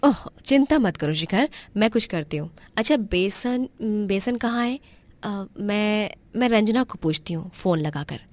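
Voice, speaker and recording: A woman voicing a kind, resourceful young married woman in a cartoon story, polite and expressive. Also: medium pitch, a little slow, close to an everyday voice. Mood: flustered.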